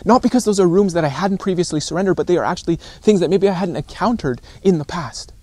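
A man in his thirties preaches informally to camera in a voice a little higher than average. He is earnest and deliberate, pausing often and repeating phrases for emphasis. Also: a little mellow, no room sound. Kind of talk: informal recorded sermon to camera. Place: outdoors on a rocky shore by calm water.

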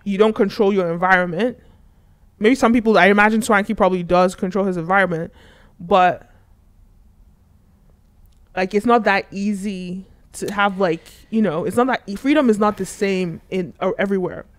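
A man hosting a casual podcast discussion, talking quickly and animatedly into a studio boom mic. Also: dry recording. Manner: fast, animated, gestures while talking. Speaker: podcast host. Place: studio lounge with red curtains and leather armchairs. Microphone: studio mic on boom arm.

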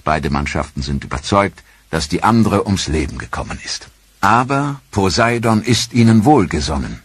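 Male documentary narrator, low husky voice, dry studio read, measured and grave. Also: dry recording.

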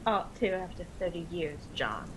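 Woman as a gentle, soothing older mother. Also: lowish voice, sing-song, moderate pace, background noise. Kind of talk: radio drama acting.

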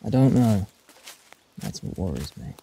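A man's voice: low voice, wildly swooping pitch, unhurried, gravelly, warm tone, breathy edge, full character voice. A man playing a protective, wry time-travelling adventurer in a fan-made audio drama. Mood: wry, uneasy.

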